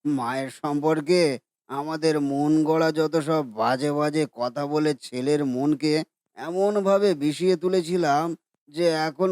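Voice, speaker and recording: A man voicing a regretful middle-aged father in a cartoon, speaking in a measured, reflective way. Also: on the high side, a little mellow, no echo, clean studio sound, natural voice.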